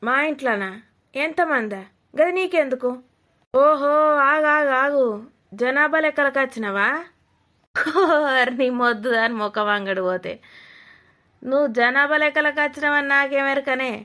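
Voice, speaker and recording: A woman's voice: high voice, normal speed, pauses often, bright, forceful tone. A woman playing a blunt, nosy older village mother-in-law with a Telangana Telugu accent, scolding and mocking in a comedy cartoon. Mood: suspicious, brusque.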